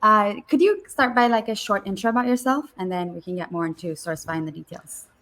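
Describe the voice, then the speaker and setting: A woman co-hosting a podcast, opening the show and introducing the guest in a halting way with fillers. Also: medium pitch, fairly bright tone. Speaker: podcast co-host.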